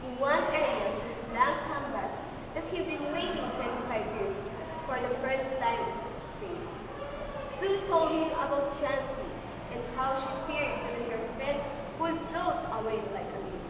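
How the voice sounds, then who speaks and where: A strict female schoolteacher, played by a woman narrates a puppet-show tale in a high voice. The sound is distant and heavily reverberant, as in a big hall. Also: animated, a little slow. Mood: narrating. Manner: instructive, firm. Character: strict female schoolteacher telling a story to pupils. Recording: echoey hall, distant mic, noisy.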